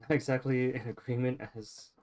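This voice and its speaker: A man's voice: medium pitch, little pitch movement, normal speed, darkish tone. A young man casually commenting on his gameplay, rambling with lots of fillers and laughs. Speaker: gameplay streamer.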